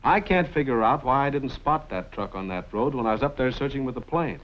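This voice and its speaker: Steady cowboy pilot, played by a man, muffled vintage recording. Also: medium pitch, a little fast, lightly acted. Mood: calm, purposeful. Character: steady, sharp-minded cowboy pilot and uncle. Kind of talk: old-time western radio drama acting. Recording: muffled vintage radio recording, loud music.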